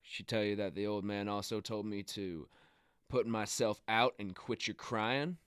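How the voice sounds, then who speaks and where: An actor playing a weary, guarded rodeo rider, in halting, plain-spoken radio drama style. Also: male voice, lowish voice, steady tone, fairly bright tone, clean tone, dry recording, clean studio sound. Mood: subdued. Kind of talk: naturalistic radio drama acting.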